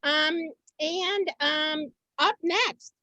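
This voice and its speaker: A woman's voice: high voice, drawn-out words, sharp tone, dry recording. A woman informally hosting a live online event, flustered and often repeating her phrases. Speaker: host. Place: indoors, on a video call. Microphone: video call.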